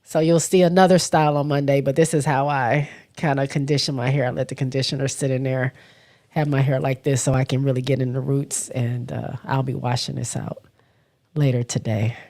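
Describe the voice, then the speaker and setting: A woman with a cold hosting a casual livestream, her voice raspy and stuffy, talking haltingly and stopping often to clear her throat. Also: a bass voice, little pitch movement, warm tone. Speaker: livestream host. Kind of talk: casual livestream chat, reading viewer comments.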